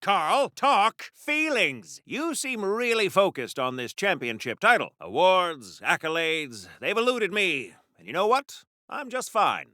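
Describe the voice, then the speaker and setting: Vintage comic book superhero, high-pitched, animated, pompous genteel wording, 1940s radio-serial game acting. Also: male voice, measured pace, hardly any pauses, no echo, clean studio sound, exaggerated voice. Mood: earnest, musing. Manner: pompous, wordy, old-fashioned genteel diction.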